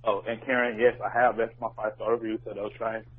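A man's voice: on the low side, flat delivery, fast, breathy edge. A man leaving a casual, rambling voicemail as a listener over the phone. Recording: muffled narrowband phone voicemail.

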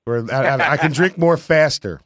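A man from New York state hosting a live radio show, with a raspy voice and a cold, talking in a boastful, joking way. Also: a little high, moderate pace, a bit bright, dry recording, studio quality. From New York state, USA. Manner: boisterous, boastful and jokey. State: has a cold. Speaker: radio host.

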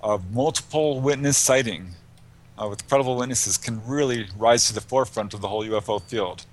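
Mid-pitched man explaining informally. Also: a little fast. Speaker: guest, UFO researcher. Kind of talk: conversational interview answers.

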